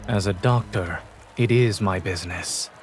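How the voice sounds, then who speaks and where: An actor playing a calm, principled male doctor in a video game, with a composed, measured and deadpan delivery. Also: low-pitched, faint music behind. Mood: stern, controlled.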